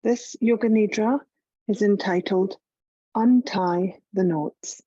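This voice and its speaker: A woman leading a guided meditation as a yoga teacher, calm and measured, giving gentle instructions with long pauses. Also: medium pitch, slightly rough, no room sound, dull audio.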